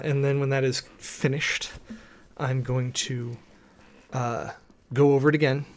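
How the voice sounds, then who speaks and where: A man giving a dramatic, deliberate read-aloud of a formal document, with theatrical emphasis and weighty pauses. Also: medium pitch. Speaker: preacher, host of a dramatic reading series.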